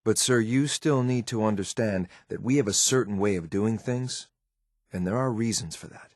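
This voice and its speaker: An actor playing a stern, loyal synthetic agent in a video game, speaking in a clipped, formal, deadpan military way. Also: male voice, fairly low pitch, moderate pace, dry recording, studio quality. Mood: restrained frustration. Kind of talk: scripted game character lines.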